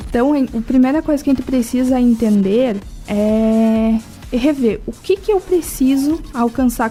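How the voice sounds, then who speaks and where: A woman's voice: high-pitched, mellow. A woman with a southern Brazilian accent explains things in a didactic way in a radio interview, often asking rhetorical questions and adding check tags. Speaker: interview guest from a language school.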